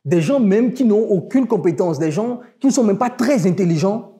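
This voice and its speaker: A man's voice: sits high, expressive, moderate pace, warm tone. A man with a West African French accent, talking in a casual podcast about online business, emphatic and motivational, speaking straight to listeners.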